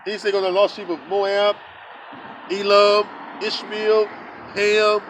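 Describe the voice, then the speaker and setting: A man's voice: high-pitched, little pitch movement, measured pace, fairly bright tone, slight background noise, rough recording. A man teaching outdoors, reading verses aloud and then explaining them in blunt, casual street talk with many filler sounds. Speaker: street preacher. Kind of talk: scripture teaching.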